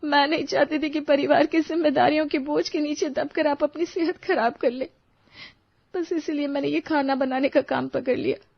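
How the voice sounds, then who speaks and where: A woman voicing a humble, apologetic working mother with a pleading, self-effacing manner in an animated moral story. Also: high-pitched, moderate pace, vocal fry, dry recording, dull audio, pitched above the performer's own voice.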